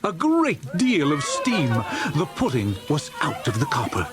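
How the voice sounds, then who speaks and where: A man narrating a dramatized classic story as an audiobook, in a theatrical, expressive storytelling manner. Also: fairly high pitch, a little slow, music in the background.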